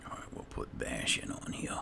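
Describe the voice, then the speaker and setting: A man's voice: moderate pace, whispered. A man giving casual roleplay commentary over a video game, musing and thinking aloud. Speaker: gaming video host.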